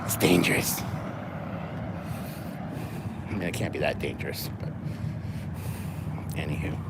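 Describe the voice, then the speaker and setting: A man's voice: extremely low pitch, little pitch movement, measured pace, creaky. A man livestreaming casually as he walks outdoors, talking in a rambling, joking, sweary way. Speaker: livestream host. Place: outdoors, walking along city streets near traffic. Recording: noisy outdoor street with passing cars.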